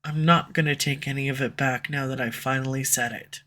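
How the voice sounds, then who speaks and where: A woman's voice: very deep voice, fairly even intonation, fairly quick, a bit bright. Woman playing a flustered tsundere villainess. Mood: confessing. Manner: defensive, flustered, then tender. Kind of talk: audio roleplay for a listener. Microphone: USB condenser microphone at home.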